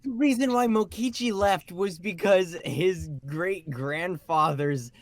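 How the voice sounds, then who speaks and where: A man's voice: high-pitched, takes it slowly, no echo. A man co-hosting a podcast in casual, bantering group conversation about a fan topic. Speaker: podcast co-host.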